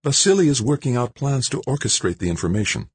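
A man's voice: mid-range voice, very animated, fast talker, husky, no room sound, voice pushed up. A man voicing a worried grown woman who pleads earnestly, in an audiobook. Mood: pleading. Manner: anxious, pleading.

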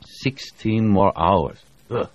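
A man's voice: lowish voice, slow, no room sound, muffled recording, pitched above the performer's own voice. A man voicing a sullen, sarcastic woman in an audiobook.

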